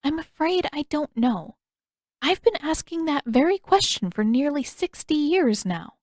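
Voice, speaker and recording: Storybook elder healer, played by a woman: old woman, high voice, gentle, wistful, measured and formal, very dry sound. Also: lively intonation, no echo. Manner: measured, formal, reflective phrasing. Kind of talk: audiobook character dialogue.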